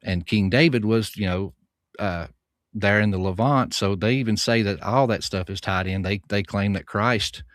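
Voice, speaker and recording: A man's voice: deep voice, no room sound, clean studio sound. A man with a Southern American accent, a guest author explaining at length in a folksy, earnest way on a livestream. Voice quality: soothing. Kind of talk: livestream conversation.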